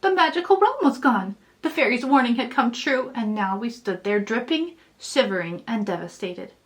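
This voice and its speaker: A woman reading a children's story aloud in an expressive storytelling style. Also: on the high side, moderate pace. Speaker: children's author reading own book aloud.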